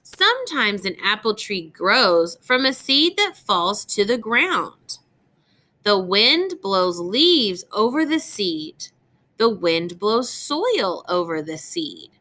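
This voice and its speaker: A woman teacher reading a picture book aloud to young children, expressive and clearly enunciated, pausing to explain and ask questions. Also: medium pitch.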